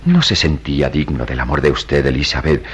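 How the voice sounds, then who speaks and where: An actor playing a grave, compassionate priest in a radio drama, speaking in solemn, oratorical phrases. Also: male voice, a bass voice, brisk, husky, heavily acted. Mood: gentle, grave. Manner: solemn, oratorical, emphatic delivery. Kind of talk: melodramatic Latin American radio drama acting.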